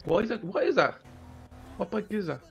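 A man's voice: sits high, lively intonation, a little fast, gravelly, soft background music. A man playing a police roleplay game, giving casual radio chatter.

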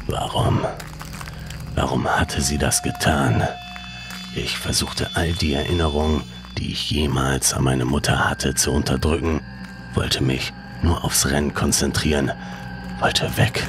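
A man reading a scripted horror story as a dramatic narrator, in a hushed, breathy, ominous delivery. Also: a bass voice, fairly even intonation, measured pace. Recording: music behind the voice. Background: music.

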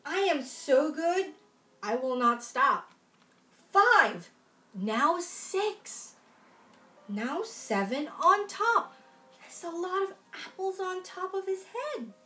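Young woman doing storytime for little kids, high voice, takes her time, super expressive. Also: slow, faint music behind. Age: young adult. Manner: expressive, exaggerated storyteller delivery. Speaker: children's library storytime reader. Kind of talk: animated picture-book read-aloud for young children. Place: room at home, in front of a folding screen.

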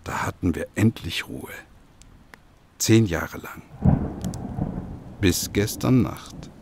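A man's voice: deep voice, takes it slowly, background noise. A man acting in an audio drama as a weary, hunted but unrepentant husband, earnest and courteous in manner.

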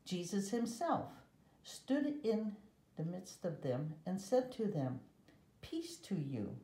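A mellow-voiced woman reads a children's religious picture book aloud. She goes very slowly and deliberately, leaving pauses between phrases. The sound is roomy and echoing. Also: lowish voice, sing-song. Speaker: storybook reader.

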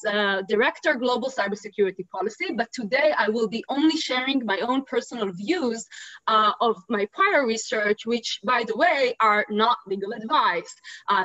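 Israeli woman panelist in her thirties, bright upbeat voice, dull webcam audio. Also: on the high side, no echo. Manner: upbeat and chatty. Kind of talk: video call panel introduction. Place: home living room. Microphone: video call webcam. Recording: dull dry webcam sound.

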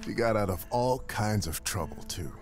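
Deep-voiced grieving uncle, acted by a man. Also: expressive, a little hiss or hum, close to an everyday voice. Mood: fond, proud. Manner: measured, solemn, tender oratory. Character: grieving proud uncle giving a niece's eulogy. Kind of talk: dramatic game voice acting, funeral speech.

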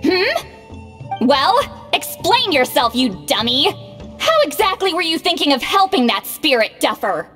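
Haughty, high-voiced shaman lady. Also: big pitch swings, measured pace, big-room sound, over music. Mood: haughty, scolding. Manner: theatrical, scolding, flustered. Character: haughty, scolding young-sounding granny shaman, easily flustered. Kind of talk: video game voice acting.

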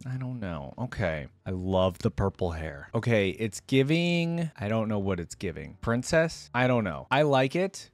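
A man's voice: lowish voice, very animated, a little slow, hardly any pauses, no echo. A young adult man giving chatty, slangy reaction commentary into a close desk microphone. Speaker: reaction video host. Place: indoor streaming setup. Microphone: close desk microphone with headset on.

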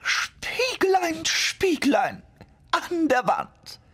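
A male actor voicing a vain, wicked, commanding queen in a theatrical, drawn-out way for a children's fairy-tale radio play. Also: very high voice, wildly swooping pitch, a bit bright, faint music behind, heavily acted. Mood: vain, coaxing.

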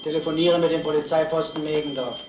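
An actor playing a brisk, authoritative police lieutenant in a crime drama, giving curt commands. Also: male voice, a little high, fairly even intonation, lightly acted. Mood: brisk commanding. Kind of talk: German film crime drama acting. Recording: echoey old film soundtrack, noisy.

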